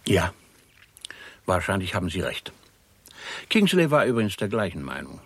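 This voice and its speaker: A low-pitched, grave male doctor in a sixties radio drama. He phrases everything formally and carefully, with measured caution. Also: huge pitch swings, husky, heavily acted. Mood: resigned, reflective. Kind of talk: 1960s German radio play acting.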